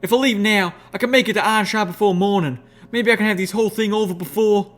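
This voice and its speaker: A man voicing a fiery, proud country mare with a drawl, shouting in strained, indignant outbursts. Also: on the high side, very animated, a little fast, pitched above the performer's own voice. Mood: commanding. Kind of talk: audiobook reading.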